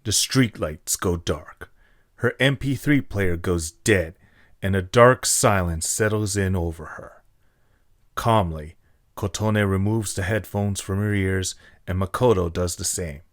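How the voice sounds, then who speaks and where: A man's voice: low-pitched, unhurried, dry recording, clean studio sound. A man reading scripted story narration as the narrator of an audio drama.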